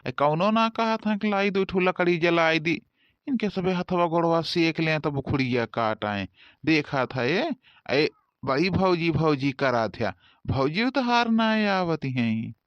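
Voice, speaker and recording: A man's voice: a little high, animated, a little slow, dry recording. Man acting grumbling Awadhi village farmhand, muffled sound. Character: grumbling Awadhi village farmhands idling over cane cutting. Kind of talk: rustic cartoon comedy dialogue.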